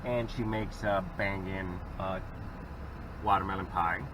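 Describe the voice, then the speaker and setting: A man's voice: low-pitched, steady tone, a little slow, background noise. A man with a Southern accent hosting a vlog, chatting casually and rambling with fillers while driving his car. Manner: laid-back, rambling with fillers. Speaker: vlog host. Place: inside a car.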